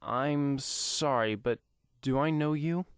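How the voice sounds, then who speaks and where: A man's voice: mid-range voice, slow, clear, resonant tone, no echo, clean studio sound, voice pushed up. Man playing a bewildered teenage vigilante. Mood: confused, apologetic. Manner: bewildered, questioning. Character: confused amnesiac teenage male vigilante with electric powers.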